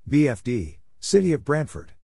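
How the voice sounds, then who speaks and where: A man reading short scripted items in a plain, deliberate announcing manner, spelling out letters and naming places. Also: medium pitch, huge pitch swings, vocal fry, background noise. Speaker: Morse code practice narrator.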